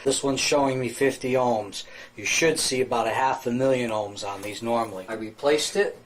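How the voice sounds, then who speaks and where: A man with a medium-pitched voice walks through a hands-on repair demonstration. He speaks in a plain, methodical way, unscripted, like a technician teaching. There is noticeable noise behind his voice. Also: moderate pace. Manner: matter-of-fact, methodical step-by-step explaining. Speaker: radio repair technician presenting a tutorial.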